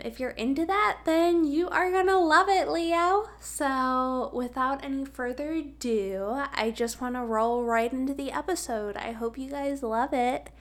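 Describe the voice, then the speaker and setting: A woman hosting a podcast, chatty and bubbly, with a slight cold. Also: a little high. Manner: bubbly, chatty, lots of likes and ums. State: has a cold. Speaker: podcast host. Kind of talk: casual podcast chat.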